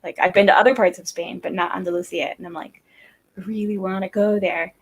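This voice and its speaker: A woman's voice: fairly low pitch, fairly even intonation, brisk, breathy edge. A woman guesting on a whiskey livestream, chatting casually in a giggly, playful way. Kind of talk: casual chat.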